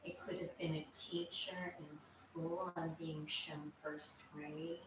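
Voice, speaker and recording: Female meditation guide, low-pitched, gentle and unhurried; distant mic, noticeable background noise. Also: level tone, heavy reverb. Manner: gentle, soothing, unhurried with frequent pauses. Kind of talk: guided meditation, spoken live to a group. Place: indoor room with a group present.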